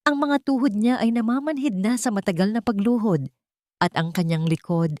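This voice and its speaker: A woman narrates a dramatic audiobook in a fairly low voice. The delivery is scripted storytelling, recorded dry and close. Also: a little mellow, no room sound.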